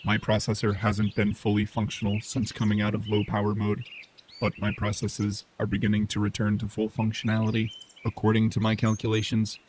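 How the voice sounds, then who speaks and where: A man voicing a matter-of-fact, analytical artificial intelligence with a measured, formal delivery in a sci-fi audio drama. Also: lowish voice, slight background noise, lightly acted.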